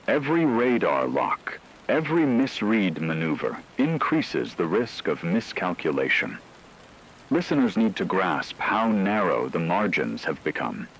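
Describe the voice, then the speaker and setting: Male actor as a grave radio drama war analyst: low voice, swooping intonation, formal, ominous delivery. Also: fairly bright tone, slight background noise, exaggerated voice, low-quality recording. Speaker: news correspondent. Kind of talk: scripted emergency radio broadcast.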